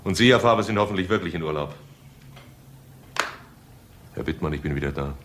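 Bossy stern police chief, super deep voice, crime radio play. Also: male voice, animated, brisk, a bit bright. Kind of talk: German crime radio drama acting. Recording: radio drama with faint background noise.

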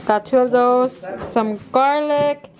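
A woman's voice: sits high, slow, darkish tone, rough recording. Chatty woman showing her groceries. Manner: chatty, rambling, with fillers. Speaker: vlogger. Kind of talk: casual grocery haul chat. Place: home kitchen, indoors.

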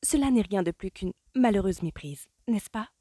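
A woman reading aloud, voicing a gentle, anxious young woman who speaks softly and in a hush. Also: medium pitch, expressive, no room sound, clean studio sound, voice pushed up, close to an everyday voice. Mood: earnest. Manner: soft, hushed, hurried.

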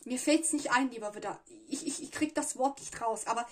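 A fairly high, breathy woman talks through a tarot spread to camera. She chats along loosely, pausing to search for words and tossing in little "ja" fillers. It sounds like a small room at a table. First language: Italian. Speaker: tarot reader. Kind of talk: casual tarot reading to camera.

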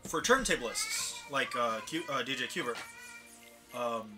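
A man's voice: medium pitch, expressive, a little slow. Rambling man chatting over game music. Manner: rambling, laughs often, reads character lines in a lifted voice. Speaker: let's play host. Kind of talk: casual gaming chat with friends.

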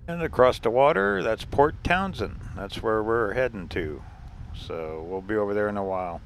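Brief: lowish male voice, easygoing vlogger chatting to camera, faint outdoor hum behind. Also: lively intonation, normal speed, creaky. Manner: chatty, chuckles often, talks to the camera. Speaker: RV travel vlogger. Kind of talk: casual narrated travel vlog. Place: outdoors and in a car on a ferry.

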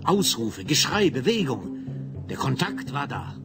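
An actor narrating a radio play as a grave storyteller, in dramatic scripted style. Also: male voice, medium pitch, very animated, a little slow, loud music behind the voice. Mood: grave, intense.

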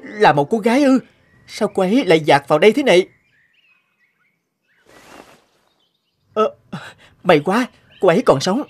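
A man voicing a kind, hardworking young fisherman, earnest and expressive, in an animated fairy-tale dub. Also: high voice, brisk, soft background music. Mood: relieved, surprised. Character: kind, hardworking young fisherman-farmer, anxious but steady.

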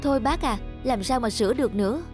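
A woman voicing a polite young bride-to-be, a customer in an animated moral story, with a courteous manner. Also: a little high, fast, faint music behind, close to an everyday voice. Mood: disappointed, polite.

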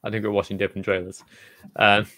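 A man's voice: on the low side, level tone, quick pace, noisy background. A young man running through gaming news on a podcast, upbeat and often laughing. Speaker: podcast co-host, news reader. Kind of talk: casual chat.

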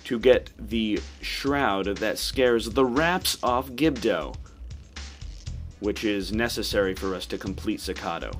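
A man's voice: on the low side, takes it slowly. A man hosting a video game walkthrough in a casual, explanatory commentary style. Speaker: gamer, walkthrough host. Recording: voice-over with game music behind.

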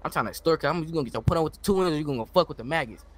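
A man's voice: on the high side, lively intonation, very fast. An American man improvising gangster roleplay in a game, talking in profane street slang with repeated check-in phrases. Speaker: online roleplay player.

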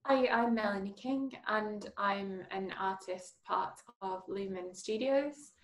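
A woman's voice: medium pitch, slow pace. A woman from Manchester, an artist explaining her gallery's exhibitions on a video call, with some fillers. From Manchester, England.

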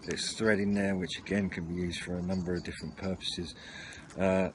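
A man's voice: sits low, little pitch movement, a little fast. A man giving an informal, slightly rambling and hesitant run-through to camera, recorded outdoors at a table on a camera mic. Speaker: video channel host. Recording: noisy outdoor home recording.

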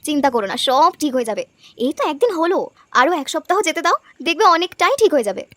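A woman acts out a hardworking young village wife for a cartoon. The voice is very high and lively, with quick delivery. The manner is cheerful, affectionate and eager to please. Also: dry recording, clean studio sound. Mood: reassuring. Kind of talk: cartoon dubbing.

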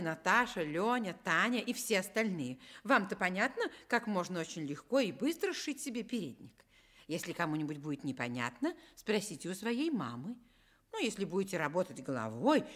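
A woman presenting a scripted Soviet children's radio programme, gently explaining things to small children as the grown-up host. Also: medium pitch.

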